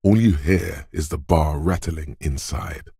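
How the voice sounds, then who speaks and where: A rumbling, very deep macho coach. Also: male voice, measured pace, warm tone, no echo, clean studio sound, heavily acted. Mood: slow narration. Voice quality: gravelly, husky. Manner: commanding, taunting, blunt. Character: gruff, macho drill-coach inner voice of physical strength. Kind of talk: video game voice acting.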